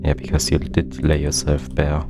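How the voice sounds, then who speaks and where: A man's voice: extremely low pitch, monotone, normal speed, loud music behind the voice. A man giving casual gaming commentary, muttering to himself as he puzzles things out. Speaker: game streamer, let's-player.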